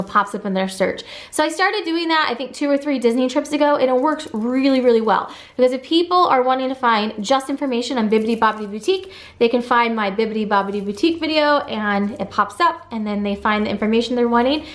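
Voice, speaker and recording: Upbeat mom vlogger lady, a bit high-pitched, gushing and chatty with lots of likes and ums. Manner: bubbly and enthusiastic, many fillers. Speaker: family travel vlogger. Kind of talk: casual chatty recap.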